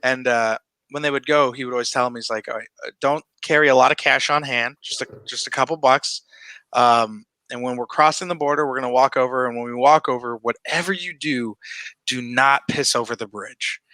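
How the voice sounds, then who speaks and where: A man's voice: mid-range voice, a little fast, sharp tone, no room sound, poor audio. An American man telling a family story in a casual chat, rambling with lots of "you know what I mean.". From United States.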